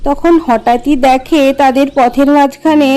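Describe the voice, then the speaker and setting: A woman's voice: high-pitched, steady tone, measured pace, warm tone. A woman narrating a scripted children's story as its storyteller. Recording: music under the voice.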